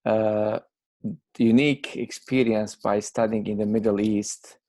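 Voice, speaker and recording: A man's voice: lowish voice, fairly even intonation, a little slow, darkish tone, no echo. A man in his thirties with a Bosnian accent, giving explanatory answers as an academic in an interview. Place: indoors, video call.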